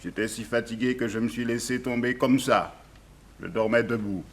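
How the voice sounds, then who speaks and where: A man's voice: medium pitch, normal speed, close to an everyday voice. A male actor as a weary, submissive plantation labourer in an old French radio play. His voice sits in a middle register, and he talks simply and naively, with a mournful streak. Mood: weary lament. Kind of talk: 1960s French radio drama acting.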